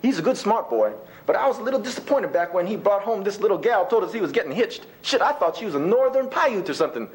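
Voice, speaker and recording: A man's voice: sits high, very animated, fast talker, poor audio. A man voicing a bigoted old rancher grandfather in a folksy drawl, reciting a dramatic monologue poem. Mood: proud, folksy. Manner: folksy drawl, exclaiming, colloquial rural speech.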